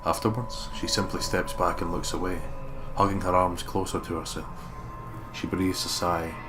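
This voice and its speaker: Casting: actor for somber male detective narrator in a dramatic game, deep voice over music. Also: level tone, moderate pace, natural voice. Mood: somber, tense. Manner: grave, somber. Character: brooding, anguished male detective narrating his inner thoughts.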